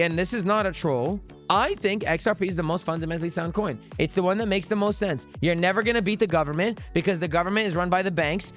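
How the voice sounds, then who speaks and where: A hoarse man with a fairly high voice chats loosely on a live stream, full of slang and swearing. He sounds worn out and low on energy. Faint music plays behind him. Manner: slangy, profane, bro-talk banter. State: hoarse, lost voice. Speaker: livestream trading host reading chat. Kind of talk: casual live-stream chat.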